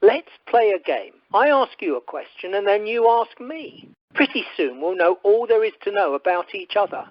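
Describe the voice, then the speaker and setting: A woman's voice: medium pitch, very animated, moderate pace, no echo. A woman playing a charming, forward male suitor in an amateur radio play recorded by telephone. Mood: flirtatious. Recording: muffled telephone conference line.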